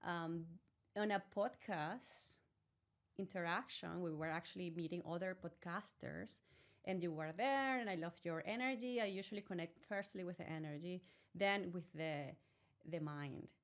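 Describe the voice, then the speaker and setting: A woman's voice: fairly low pitch, normal speed. A woman hosting a wellbeing podcast, introducing her guest earnestly and asking long, heartfelt questions. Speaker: podcast host. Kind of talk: interview hosting.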